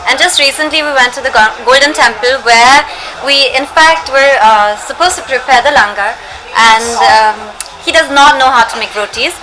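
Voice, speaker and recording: A woman's voice: high voice, moderate pace, crowd noise, poor audio. A young woman, an actress, chatting playfully and laughing often in a press interview; her first language is not Hindi. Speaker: actress promoting a film.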